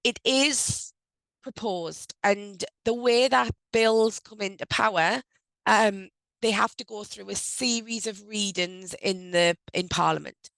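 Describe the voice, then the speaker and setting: Woman, middle-pitched, gravelly and croaky from a cold, casual HR presenter on a dry video-call mic. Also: fairly bright tone, dry recording, low-quality recording. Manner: loose and conversational, with frequent ums and self-corrections. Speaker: HR advisor hosting an online briefing. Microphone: video call microphone.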